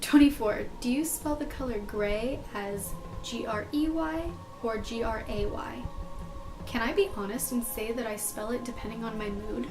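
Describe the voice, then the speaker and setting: A woman's voice: a little high, moderate pace. A young American woman from California chatting casually through questions in a vlog, informal and self-deprecating, rambling as she answers. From California, United States. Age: young adult. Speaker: video blogger answering tag questions. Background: background music.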